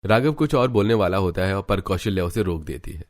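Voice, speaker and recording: A man's voice: low voice, animated, fairly quick. A man narrating a cartoon family story in a scripted storytelling style.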